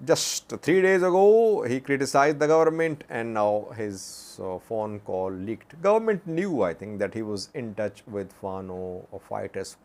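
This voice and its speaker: Slow, halting male news commentator. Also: on the low side, a little mellow. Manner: halting, with long hesitations and frequent filler sounds; poses rhetorical questions. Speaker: news commentator and channel host.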